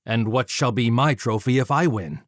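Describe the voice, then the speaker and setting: A man voicing a resolute demigod hunter of murderers in an audiobook, measured and accusing, with a dry, deadpan edge. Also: medium pitch, no echo, lightly acted. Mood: dry challenge.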